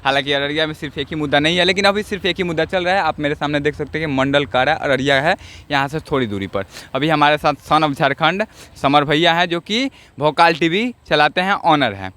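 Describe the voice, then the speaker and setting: A man hosts an on-location news interview. His voice is sharp and bright, sitting slightly high for a man. He speaks as a channel reporter out in the open air of a town. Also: brisk. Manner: interjects short agreements while listening. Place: outdoors in a town, near a road.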